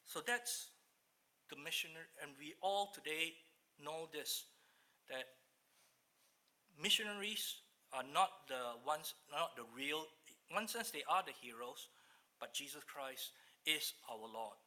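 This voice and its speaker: A man from Malaysia with a Malaysian English accent, preaching a sermon and reading Bible verses aloud in an earnest, halting way. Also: a little high, lively intonation, sharp tone. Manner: earnest, halting, restarts mid-phrase.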